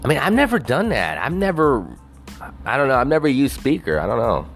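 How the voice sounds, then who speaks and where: A man's voice: mid-range voice, very animated, fairly quick, faint music behind. A man on a casual, rambling rant, swearing constantly and leaning on "you know" fillers.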